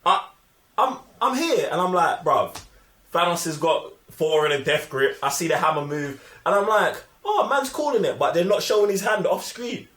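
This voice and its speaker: Man, London accent, high voice, very lively intonation, slangy, emphatic, repetitive, film review co-host. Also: normal speed. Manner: emphatic, repeats himself, argumentative. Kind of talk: animated casual banter, slangy. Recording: roomy, echoing sound.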